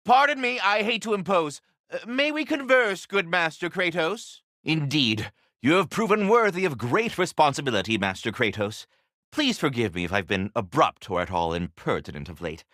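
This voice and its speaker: Fussy polite squirrel from a video game, super swoopy and bright, kinda high, very proper wording. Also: male voice, big pitch swings, moderate pace, no echo, clean studio sound. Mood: apologetic, formal. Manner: courtly, formal, effusive, theatrical phrasing. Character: polite, fussy talking squirrel tending the World Tree. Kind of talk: video game character dialogue.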